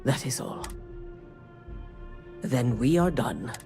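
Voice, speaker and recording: An actor playing a fanatical serpent-cult prisoner in a video game, reciting prophecy in a solemn, cryptic, breathy manner. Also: male voice, mid-range voice, over music, lightly acted. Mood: solemn, reverent. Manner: slow, solemn, cryptic preaching. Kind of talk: video game dramatic monologue.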